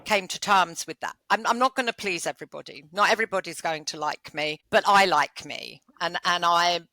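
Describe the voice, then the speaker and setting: A British woman hosting a conversational interview, with a loud voice and a blunt, outspoken manner. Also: on the low side, fairly quick, no echo. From United Kingdom. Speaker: podcast host.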